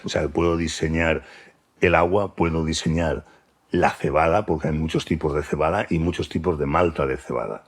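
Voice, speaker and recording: A man's voice: extremely low pitch, husky. A man with an accent from Spain, giving an unscripted expert explanation in a didactic way, often checking that he is understood. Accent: Spanish from Spain. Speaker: science expert explaining brewing.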